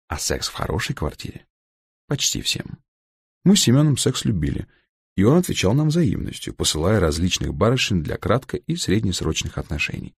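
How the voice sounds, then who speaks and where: A man reading a humorous literary story as an audiobook narrator, with a gravelly voice and wry, dry irony. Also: deep voice, dry recording.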